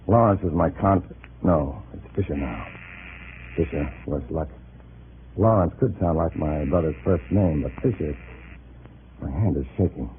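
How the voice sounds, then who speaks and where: Undercover man, 1950s radio thriller: nervous, hesitant, very animated pitch, tinny vintage sound. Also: a little slow, pauses often. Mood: tense, hushed. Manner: hesitant, stammering under pressure. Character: nervous undercover agent posing as a loyal communist. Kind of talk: 1950s radio drama acting with first-person narration. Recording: tinny, noisy old radio recording.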